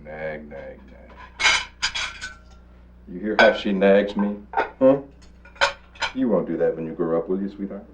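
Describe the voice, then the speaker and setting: Low-voiced, weary alcoholic father. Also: unhurried, long pauses, music in the background. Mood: irritated, wheedling. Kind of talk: 1980s educational film acting. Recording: dull, noisy old VHS film soundtrack.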